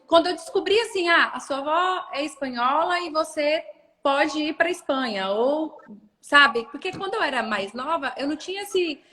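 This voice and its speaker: A woman's voice: sits high, animated, normal speed, pushed and bright. A Brazilian woman chatting casually as the guest in an interview. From Brazil, lives in Rondônia. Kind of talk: casual chat. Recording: reverberant room with long echo.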